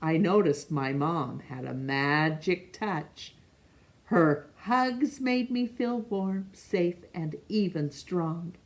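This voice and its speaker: A woman reading a picture book aloud to children, expressive and pausing to ask young listeners questions. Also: on the low side. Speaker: storytime reader.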